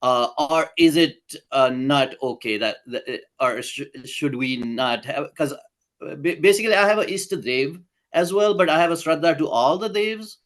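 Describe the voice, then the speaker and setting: A man from Nepal asking a follow-up question, halting and restarting often as he searches for words. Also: a little high, lively intonation, fairly bright tone. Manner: halting, many fillers and restarts. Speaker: audience member asking a question.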